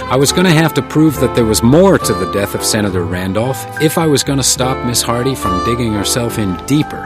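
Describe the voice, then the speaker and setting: An actor playing a composed, weary hotel detective in a radio crime drama, speaking in a measured, understated way. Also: male voice, mid-range voice, natural voice. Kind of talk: radio crime drama, noir first-person narration and dialogue.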